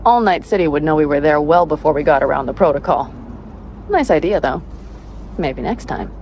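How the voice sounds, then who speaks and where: An actress playing a tough young woman mercenary in a video game, brisk, practical and wry. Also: sits low, expressive, darkish tone. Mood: focused, wry. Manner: brisk, practical, wry, casually foul-mouthed. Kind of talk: video game cutscene dialogue, naturalistic. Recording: clear vehicle and ambience noise behind.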